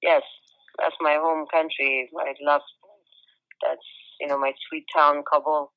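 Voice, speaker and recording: An older woman from Afghanistan recounting her family's life in a hesitant phone interview, with many pauses and fillers. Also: low voice, flat delivery, clean tone, highs cut off. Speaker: interviewee, refugee mother. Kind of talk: phone interview, personal account.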